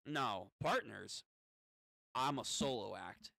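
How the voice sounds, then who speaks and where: A man's voice: medium pitch, lively intonation, slow pace, gravelly, sharp tone, no echo, pitched below the performer's own voice, exaggerated voice. Comic-reading role: man as a little inner-demon gremlin, cocky and conniving, stretching words out tauntingly in a dry space. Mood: irritated.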